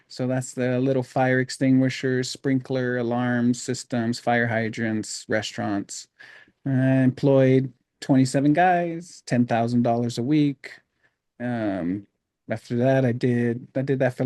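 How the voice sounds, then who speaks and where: A middle-aged man from Hawaii, a podcast guest telling his life story in a relaxed, rambling way with many fillers. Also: medium pitch, no echo, studio quality. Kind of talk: casual storytelling interview.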